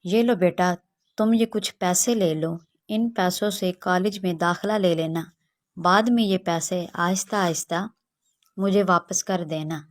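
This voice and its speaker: A woman's voice: fairly low pitch, fairly even intonation, unhurried, close to an everyday voice. A woman voicing a kindly, encouraging village schoolteacher who gives earnest advice in a children's moral story.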